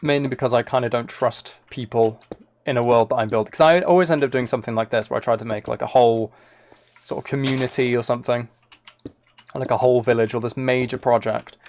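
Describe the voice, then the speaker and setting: Male game streamer, mid-pitched with noticeable fry, musing aloud in loose, casual chat. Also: fairly even intonation, quick pace, a little mellow, slight background noise. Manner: rambling, musing to himself while playing. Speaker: live streamer. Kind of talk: casual gaming livestream chat, thinking aloud.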